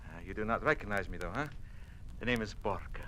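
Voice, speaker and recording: An actor playing a smoothly menacing Soviet party envoy in an old radio drama, formal, condescending and commanding. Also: male voice, fairly low pitch, lively intonation, fast talker, sharp tone, a little hiss or hum, rough recording.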